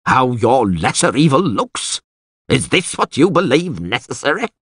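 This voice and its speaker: A man voicing a stern, outraged town alderman in a fantasy audiobook, blunt, commanding and accusing, with a husky voice. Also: deep voice, very animated, slow pace, dry recording, clean studio sound, full character voice. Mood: bitter reproach. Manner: forceful, accusing.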